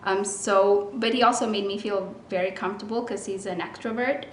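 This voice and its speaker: A woman from Ethiopia, raised in Rome, sharing her story in a church testimony video in a quiet, reserved and gentle way. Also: medium pitch, fairly even intonation, moderate pace, a little hiss or hum. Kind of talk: personal testimony, interview-style. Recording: echoey room recording.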